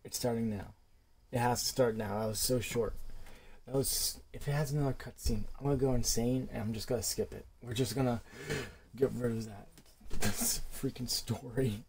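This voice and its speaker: Gravelly man muttering through a game playthrough. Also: mid-range voice, steady tone, normal speed, a little mellow, a little hiss or hum. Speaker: gaming video creator. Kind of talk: casual game playthrough commentary.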